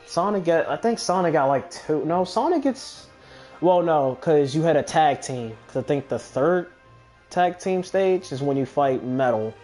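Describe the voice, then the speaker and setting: A man's voice: on the high side, animated, measured pace, a little mellow, soft background music. A man giving casual, rambling game commentary, thinking aloud and often correcting himself mid-thought. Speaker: gaming video host.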